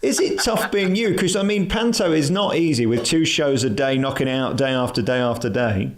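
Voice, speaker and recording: A man's voice: medium pitch, animated, moderate pace. Guy interviewing a comedian, upbeat leading questions, crowd chatter behind. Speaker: interviewer host. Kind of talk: radio celebrity interview.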